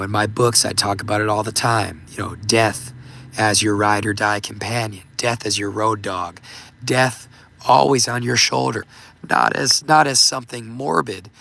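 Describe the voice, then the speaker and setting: Hoarse gravelly man rambling on a livestream. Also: fairly low pitch, bright, forceful tone, slight background noise. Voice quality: gravelly, voice giving out. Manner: rambling, halting, many fillers and restarts. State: hoarse, losing his voice. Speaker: livestream host. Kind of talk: casual livestream talk reading super chats.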